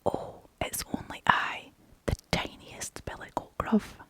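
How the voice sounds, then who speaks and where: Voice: slow, close to an everyday voice. A British storyteller voicing a tiny, timid young male billy goat from a storybook in a small, pleading whisper.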